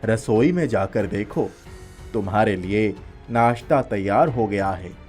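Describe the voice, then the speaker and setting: A man's voice: fairly low pitch, lively intonation, a little slow, a little mellow. A man voicing a helpful magical talking pakora in a children's story, giving instructions in a commanding way. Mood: brisk, directing. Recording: music playing behind the voice.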